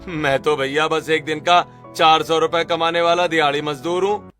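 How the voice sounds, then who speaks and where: A man's voice: a little high, fairly even intonation, moderate pace, sharp tone, soft background music. A man playing a young husband in a cartoon story, a secret billionaire posing as a poor labourer. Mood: evasive. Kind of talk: Hindi cartoon story acting.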